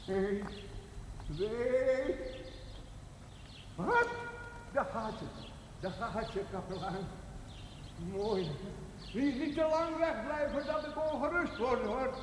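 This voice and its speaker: Gentle simple man, high voice, stammering, unhurried, childlike, noisy TV drama soundtrack. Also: animated, exaggerated voice. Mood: tender, anxious. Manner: stammering, repeating words. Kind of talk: television drama acting. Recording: noisy old television soundtrack.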